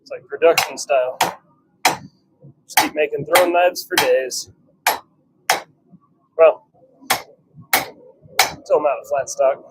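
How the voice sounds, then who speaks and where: A man's voice: a little high, slow, pauses often. A man giving casual running commentary in short fragments while he works on a livestream from a noisy workshop. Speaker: blacksmith livestreamer. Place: workshop with a forge.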